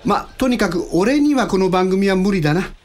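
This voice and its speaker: A man's voice: high-pitched, expressive, normal speed, a little mellow. An actor playing a self-doubting, grumbling ordinary man in a radio drama, casual, blunt and easily flustered.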